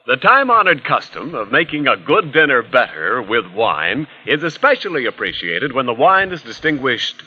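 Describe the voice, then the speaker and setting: American male announcer, 1940s commercial, polished delivery, very lively intonation, muffled vintage sound. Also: medium pitch. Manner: polished, florid salesmanship. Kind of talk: 1940s scripted radio advertisement. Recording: dull old 1940s radio recording.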